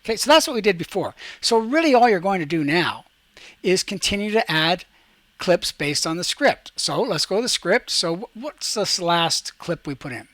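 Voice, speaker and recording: A man hosting a software tutorial as a teacher, talking through each step aloud in an instructional way. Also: sits high, very animated, normal speed, dry recording.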